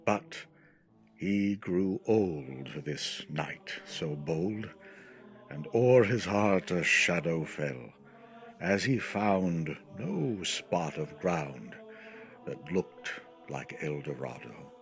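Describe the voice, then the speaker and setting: A man giving a theatrical poetry recitation in a declamatory, deliberate manner with drawn-out phrases. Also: low-pitched, expressive. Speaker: poetry reader. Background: music.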